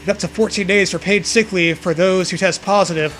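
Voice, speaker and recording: A man's voice: high-pitched, fairly even intonation, brisk, a bit bright, soft background music. A man co-hosting a comedy show, mockingly reading fan fiction aloud with sarcastic, profane banter.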